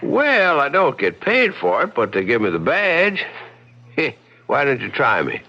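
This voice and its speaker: An actor playing a bumbling small-town deputy, rambling and stammering in a 1970s radio drama. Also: male voice, medium pitch, wildly swooping pitch, unhurried, bright, forceful tone, exaggerated voice. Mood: earnest. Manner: stammering, rambling country talk. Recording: muffled old radio broadcast with hiss.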